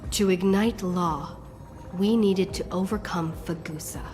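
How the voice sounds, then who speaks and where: A woman voicing a solemn heroine who recounts a fantasy quest in measured, scripted video game narration. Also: fairly low pitch, a little mellow, natural voice. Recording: long reverb under game music. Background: game music.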